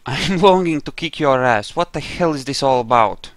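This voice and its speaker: A man's voice: medium pitch, animated, normal speed, no echo. A man giving casual, conversational commentary as he plays a video game, with a sweary streak. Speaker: gamer, playthrough host.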